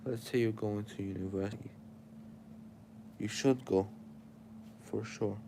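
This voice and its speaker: Tired young dude w arabic accent lecturing his stream chat, low gravelly voice, noisy mic. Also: fairly even intonation, a little slow. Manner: preachy, addresses his chat directly. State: sleepy and tired. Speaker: livestreamer. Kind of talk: casual livestream chat giving life advice.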